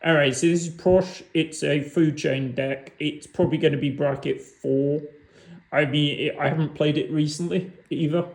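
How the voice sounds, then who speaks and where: A man casually walking through his card game decks on camera, rambling and halting, often restarting words. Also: fairly high pitch, steady tone, vocal fry. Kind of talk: casual unscripted walkthrough. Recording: echoey room.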